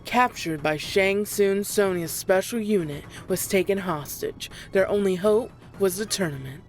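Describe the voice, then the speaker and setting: A woman's voice: medium pitch, a little slow, soft background music. A woman reading a dramatic scripted narration.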